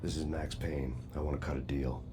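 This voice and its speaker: An actor playing a grim, world-weary noir vigilante in a video game, brooding and deadpan in hard-boiled monologue. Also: male voice, extremely low pitch, fairly quick, soft background music. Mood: terse, threatening.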